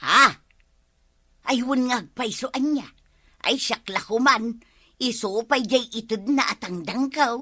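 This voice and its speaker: Sharp-voiced, hard-up village woman played by an actress. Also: a little high, a little slow, long pauses, no room sound, clean studio sound. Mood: plaintive. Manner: plaintive. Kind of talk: comedy radio drama acting in Ilocano.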